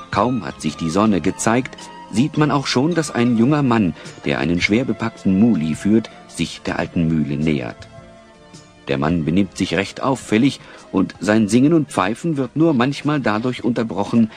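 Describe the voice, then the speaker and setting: A man narrating a German children's audio drama, with dramatic, measured phrasing. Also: lowish voice, darkish tone. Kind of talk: scripted audio drama storytelling.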